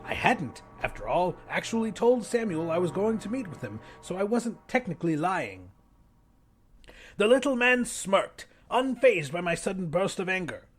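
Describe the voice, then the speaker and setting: High, animated male story narrator. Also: normal speed, soft background music. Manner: dramatic, expressive. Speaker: audiobook narrator. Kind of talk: scripted first-person fiction narration.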